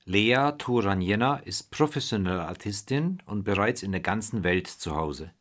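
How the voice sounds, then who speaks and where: A man's voice: fairly low pitch, animated, moderate pace, fairly bright tone. A man reading a scripted introduction and interview questions as the interviewer.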